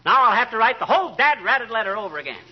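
An actor playing a hot-tempered, blustering husband in a vintage radio comedy, sputtering mild curses and turning sheepish. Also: male voice, very high-pitched, big pitch swings, rapid-fire, breathy edge, exaggerated voice. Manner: sputters mock curses, swings from rage to sheepish. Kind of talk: 1940s radio comedy acting. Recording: muffled old radio broadcast with hiss.

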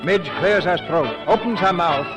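A male announcer giving scripted, measured narration for a 1940s radio drama. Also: high voice. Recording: old radio with loud music bed.

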